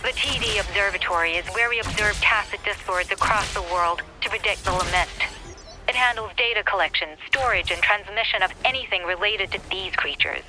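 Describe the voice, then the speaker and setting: A woman's voice: medium pitch, a little fast, over music, lightly acted, rough recording. A woman playing a matter-of-fact female research guide, explaining things in video game dialogue. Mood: businesslike, concerned. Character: brisk, businesslike female guide at a research post.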